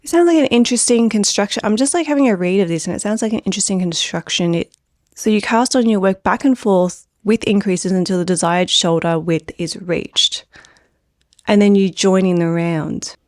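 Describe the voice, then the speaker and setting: A woman's voice: medium pitch, normal speed, a little mellow, no echo, studio quality. A woman and knitwear designer chatting casually about knitting patterns, her throat a little phlegmy from a cold, rambling with many filler words. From Australia. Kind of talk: casual pattern review chat.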